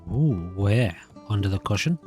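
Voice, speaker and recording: A man's voice: fairly low pitch, lively intonation, measured pace, a little mellow. A man giving casual let's-play commentary, chatty and musing aloud to himself. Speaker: gaming video host. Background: guitar music.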